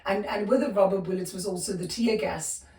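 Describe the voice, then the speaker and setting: A South African woman in her fifties, a podcast guest telling her life story with many pauses, fillers and restarts. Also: medium pitch, darkish tone, reverberant, distant mic. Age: 50s. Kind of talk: personal storytelling interview.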